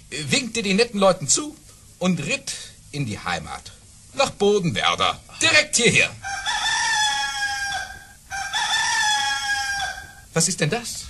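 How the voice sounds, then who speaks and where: A jovial, boastful nobleman spinning tall tales for a radio play. His highish, bright voice swoops dramatically and savours each phrase, with faint noise behind it. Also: huge pitch swings, a little slow, full character voice. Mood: amused, generous. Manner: theatrical, savouring storytelling with dramatic swings. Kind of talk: German radio play acting.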